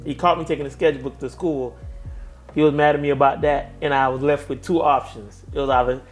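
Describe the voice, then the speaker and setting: A man with a Haitian-influenced accent, a tattoo artist talking casually as an interview guest, relaxed, with street slang and easy laughs. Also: medium pitch, brisk, soft background music. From second-generation Haitian family. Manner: laid-back street slang, laughs easily.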